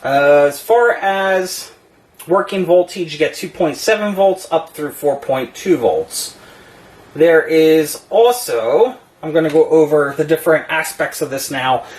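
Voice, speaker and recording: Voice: medium pitch, unhurried, darkish tone, low-quality recording. A product reviewer giving an unscripted demonstration in a matter-of-fact, explanatory way.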